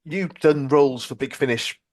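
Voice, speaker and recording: A man interviewing a guest on a podcast as a casual fan, informal and a little halting. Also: fairly high pitch, a little mellow.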